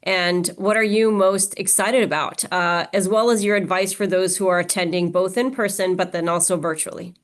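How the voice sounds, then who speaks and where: A woman born in Pakistan and raised in Mexico, speaking as an interviewer in an earnest, measured way with frequent fillers. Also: on the low side, steady tone. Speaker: interviewer, organization leader. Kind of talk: conversational interview.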